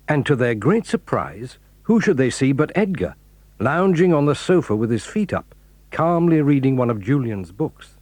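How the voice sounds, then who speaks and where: A man narrates a children's audio drama with a measured, expressive reading. His voice is mid-pitched and a little husky, with a lively rise and fall. The studio sound is dry. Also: no echo. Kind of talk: scripted storybook narration.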